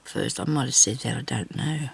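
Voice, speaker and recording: An older Australian woman with a low, husky voice roughened by a lingering cough, chatting casually and self-deprecatingly as she rambles through a craft video. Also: moderate pace. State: lingering cough after weeks of sickness. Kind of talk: casual chat while hand stitching.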